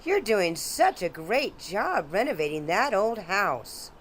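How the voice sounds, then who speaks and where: A woman's voice: mid-range voice, big pitch swings, slow, a little hiss or hum. An actress playing a courteous, genteel neighbor woman, easily wounded, in a radio drama. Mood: friendly, courteous.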